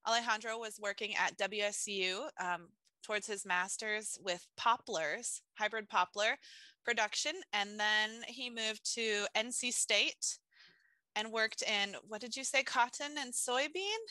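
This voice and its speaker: Woman in her thirties, seminar host, crisp bright tone, dry headset sound, friendly, says um often. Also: medium pitch, unhurried, no room sound, rough recording. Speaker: seminar host introducing the speaker. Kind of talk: introduction over video call. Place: office.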